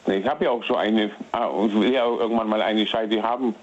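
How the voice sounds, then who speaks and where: A man in his thirties calling into a radio show, talking haltingly and repeating his words. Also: on the low side, fairly even intonation. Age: 30s. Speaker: caller. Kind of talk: phone call-in to radio. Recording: muffled lo-fi phone line.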